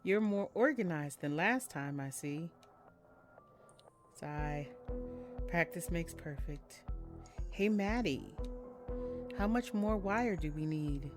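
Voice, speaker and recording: Woman doing a chill lets play, reads stuff out slowly, lowish voice, music going behind her. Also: expressive. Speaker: game streamer reading visual novel dialogue aloud. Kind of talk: casual let's-play commentary with read-aloud.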